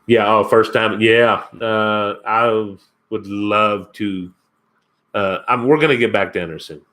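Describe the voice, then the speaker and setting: A laid-back man with a Kentucky accent, talking to his viewers on a live stream. His voice sits a little low, and he rambles along, chuckling and answering chat comments as they come in. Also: steady tone, slow, fairly bright tone. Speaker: outdoors channel host.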